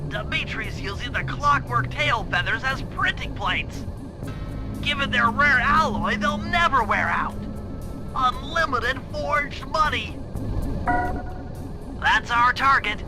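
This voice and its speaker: A man's voice: very high voice, lively intonation, slow pace, long pauses, music in the background, full character voice, low-quality recording. A man voicing a nerdy, cautious young turtle mastermind in a video game, nasal, speaking in precise, wordy, scholarly briefings. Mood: calculating, earnest. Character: nerdy, cautious male turtle brainiac, young gadget-minded strategist. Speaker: mission planner and guide. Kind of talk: video game cutscene and tutorial voice acting.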